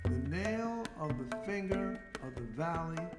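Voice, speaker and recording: Woman, spoken word poetry, declamatory, slow delivery, roomy echo, prominent instrumental music. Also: lowish voice, lively intonation. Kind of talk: poetic spoken word over music, chanted in places. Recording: echoey room with loud music behind. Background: loud live instrumental music.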